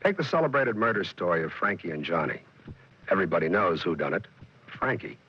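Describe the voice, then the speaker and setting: A man's voice: deep voice, expressive, fairly quick, husky. A man hosting a 1940s radio drama, giving a wry, folksy scripted introduction on a dull old broadcast recording.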